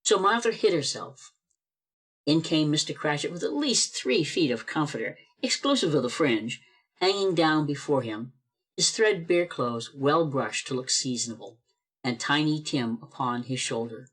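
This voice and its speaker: Male storybook-style narrator for a radio play, fairly high pitch, expressive scripted delivery. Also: moderate pace, breathy edge. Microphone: video call.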